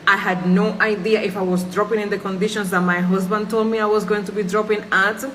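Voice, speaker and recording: A woman's voice: medium pitch, little pitch movement, a little fast, a bit bright. A Cuban woman in her forties whose first language is Spanish, speaking English with a Jamaican and Spanish-mixed accent in an earnest, pleading personal monologue. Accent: Cuban Spanish mixed with Jamaican Patois. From Cuba. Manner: earnest, pleading, repeats phrases for emphasis.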